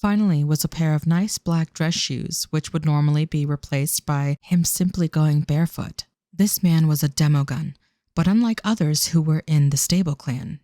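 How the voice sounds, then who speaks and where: A man's voice: on the high side, warm tone, no room sound, clean studio sound. A man reading scripted narration for an audio drama as its storyteller.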